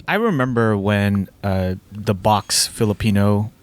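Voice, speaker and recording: A man on a podcast panel, talking casually and quickly, with "uh" fillers. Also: fairly low pitch. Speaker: podcast guest.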